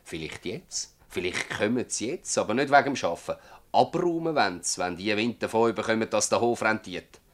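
An actor playing a stubborn old farmer in a radio drama, speaking emphatically and haltingly with a Swiss German accent. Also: male voice, lowish voice, lively intonation. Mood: bitter. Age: older. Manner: emphatic, halting, earthy testimony. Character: gruff old Swiss farmer, stubborn, defensive, worn by toil. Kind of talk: dialect radio drama acting.

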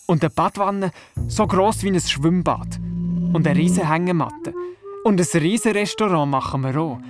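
A man's voice: sits high, moderate pace, natural voice. An actor playing a curious, eager young grandson who tells his story earnestly in a children's radio play, with a Swiss German accent. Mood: excited, proud. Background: music.

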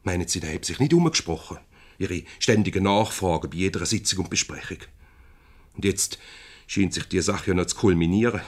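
Lowish-voiced, officious Swiss company director. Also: male voice, expressive, normal speed. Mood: disapproving, stiff. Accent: Swiss German. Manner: formal, pompous, hesitant when mediating. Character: officious company director, formal, placating, slightly pompous. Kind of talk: 1970s radio comedy acting.

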